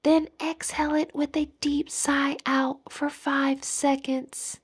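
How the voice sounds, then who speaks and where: A woman leading a guided meditation as an instructor, speaking in a soothing, deliberate manner with gentle pauses. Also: sits high, little pitch movement.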